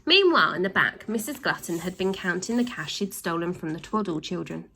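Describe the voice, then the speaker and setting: A woman narrating a children's book aloud in an animated storytelling style. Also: fairly low pitch, fairly quick, creaky, slight background noise.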